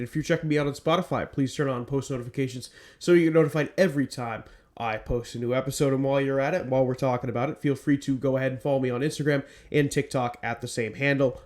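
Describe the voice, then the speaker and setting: Podcast guy, a bit stuffy from a cold, rambles with lots of ums, mid pitch. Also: fast talker, a little mellow. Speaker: podcast host. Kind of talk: scripted narration then casual chat.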